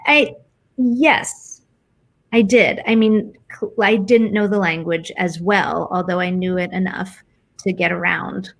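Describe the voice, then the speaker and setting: A woman's voice: medium pitch, fairly even intonation, takes it slowly, gravelly. A woman from the United States with an American accent, talking casually and reflectively as an interview guest, informal and thinking aloud as she goes.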